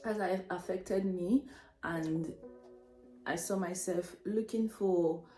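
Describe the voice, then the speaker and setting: A woman's voice: lowish voice, slow pace, dark tone. A young woman with a Nigerian accent, chatting casually through a personal story, self-mocking and laughing often. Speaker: vlogger telling a story. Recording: echoey room, faint music behind.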